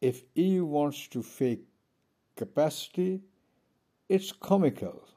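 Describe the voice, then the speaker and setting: A man from India giving a pointed, measured speech from a conference podium. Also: fairly high pitch, lively intonation, dark tone. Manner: measured, pointed, with pauses between phrases. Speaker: conference speaker.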